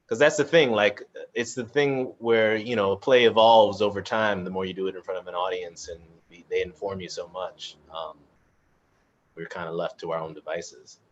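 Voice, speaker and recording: A man casually moderating a panel chat, informal and halting as he thinks aloud. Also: low voice, steady tone, dull audio. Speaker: cast member moderating a live audience Q&A.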